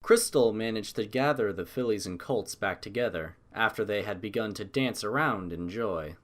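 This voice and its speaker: A man's voice: lowish voice, normal speed. A man giving a scripted narration of a story as an audiobook narrator.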